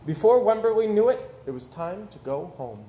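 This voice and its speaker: A man in his thirties reads a children's picture book aloud expressively, with dramatic pauses and emphasis. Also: high voice, very animated, warm tone, muffled recording. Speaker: storyteller reading aloud to children. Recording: roomy echo, some background noise.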